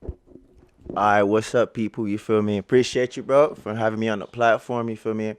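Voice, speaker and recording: Laid-back Jamaican man, lowish voice. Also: steady tone, moderate pace, smooth. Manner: slangy, laid-back, leans on "you feel me". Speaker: guest, media platform owner. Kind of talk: casual interview chat.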